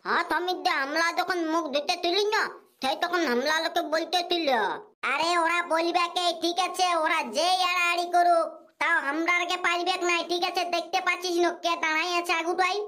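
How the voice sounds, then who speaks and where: A young, impatient village sidekick in a comedy cartoon. He rattles along in an artificially squeaky, bright voice, scolding and slangy with a Purulia Bengali accent. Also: little pitch movement, brisk. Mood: excited. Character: nagging, impatient village buddy, young man. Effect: pitched-up cartoon voice.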